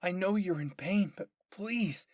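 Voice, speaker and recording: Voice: medium pitch, a little slow, no echo, pitched above the performer's own voice, natural voice. A performer voicing an anguished teenage boy soldier in an anime roleplay, pleading urgently and protectively. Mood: tender pleading.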